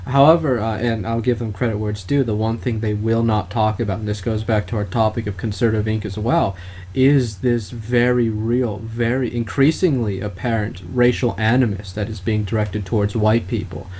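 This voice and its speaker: A man speaking as a podcast host in an opinionated political discussion, with halting, wordy, formal phrasing. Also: on the low side, fairly even intonation, darkish tone. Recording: clearly noisy background.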